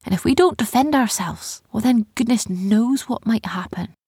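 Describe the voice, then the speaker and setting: A fierce, warm-hearted pirate woman from a children's storybook, acted out by a woman narrator. Her voice lifts high with a husky, breathy edge, and she talks in a plain, colloquial way. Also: lively intonation, moderate pace, dry recording, studio quality, full character voice. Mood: fierce, wary. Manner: colloquial, says yous and lassie.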